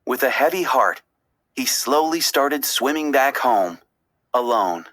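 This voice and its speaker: A woman reads a children's story aloud with expressive, dramatic phrasing. Her voice is bright and very animated, yet she takes her time over each phrase. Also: extremely low pitch, takes it slowly. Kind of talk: expressive read-aloud narration.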